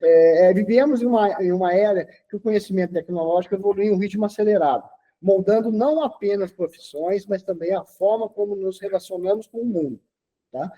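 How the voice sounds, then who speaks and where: Older male interview guest, 60s, instructive and talkative; high, husky voice. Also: fairly quick, warm tone, rough recording. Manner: lecturing, tags sentences with "tá?". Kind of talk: casual interview talk.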